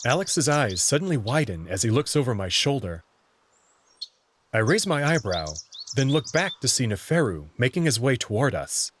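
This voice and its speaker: A man's voice: medium pitch, very animated, moderate pace, slight background noise. A man reading scripted first-person narration for a visual novel.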